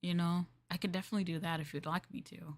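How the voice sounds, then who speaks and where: A woman voicing a polite, eager young maid in an improvised roleplay ASMR stream, speaking softly and a little hesitantly to her master. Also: fairly low pitch, fairly even intonation, fast talker, lightly acted. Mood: cheerful, eager. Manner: soft, polite, hesitant, apologetic, eager to please. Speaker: VTuber streamer playing a maid for live chat.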